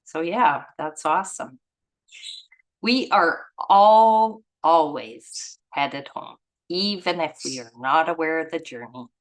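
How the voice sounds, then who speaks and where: A woman with a slightly low voice speaks at a very unhurried pace. She leads a study group, moving between reading aloud and casual commentary of her own. Her way of talking is informal, effusive and reflective. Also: poor audio. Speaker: reader and study group leader.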